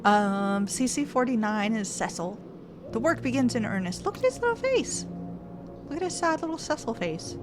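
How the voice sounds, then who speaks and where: A woman's voice: fairly high pitch, sing-song, moderate pace, noisy background. A woman streamer casually thinking aloud while playing a game and reading text off the screen. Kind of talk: casual let's-play commentary. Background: game music.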